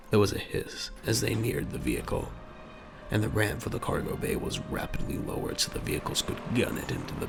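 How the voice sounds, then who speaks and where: A man's voice: low voice, vocal fry, background noise. A man delivering dramatic scripted narration for an audio drama.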